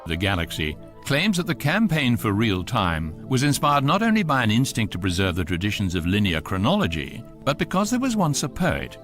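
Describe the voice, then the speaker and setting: A man's voice: medium pitch, huge pitch swings, moderate pace, fairly bright tone. A man with a British accent as the urbane, wry voice of a galactic guidebook, narrating a scripted radio comedy. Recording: faint music under the voice.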